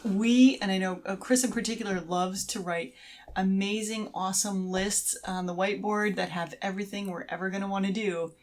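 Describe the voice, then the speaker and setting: A middle-aged woman speaks as a co-host on a casual podcast. Her voice sits at a medium pitch, and she explains her points in an agreeable, informal manner. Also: fairly even intonation, moderate pace. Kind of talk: casual conversational advice on creativity.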